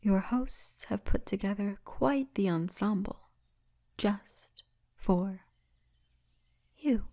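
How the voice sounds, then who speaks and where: A towering orc militia woman, played by a female voice actor in an intimate ASMR roleplay. She speaks slowly in a mellow, relaxed tone at a medium pitch. Her manner is confident and teasing, with a formal turn of phrase. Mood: proud, inviting. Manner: teasing, confident, formal turns of phrase, often breathy murmur.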